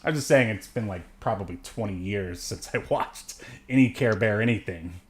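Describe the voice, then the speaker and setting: A man's voice: fairly low pitch, moderate pace. A man around his thirties or forties chats casually as a podcast co-host, joking and meandering through his thoughts. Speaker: podcast co-host and film reviewer. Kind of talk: casual unscripted film discussion.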